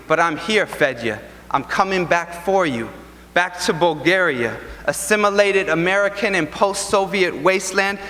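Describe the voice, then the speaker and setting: Young man, spoken word poet, slow rhythmic delivery, bright voice, long ballroom reverb. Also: sits high. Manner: rhythmic, deliberate, impassioned delivery. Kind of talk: spoken word poetry performance. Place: large hotel ballroom.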